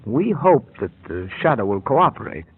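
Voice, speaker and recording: An actor playing a scheming scientist who explains things in a measured, formal way, in 1930s radio style. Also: male voice, mid-range voice, sing-song, breathy edge, heavily acted. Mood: earnest, formal. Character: smooth scheming scientist posing as earnest inventor. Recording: old muffled radio broadcast.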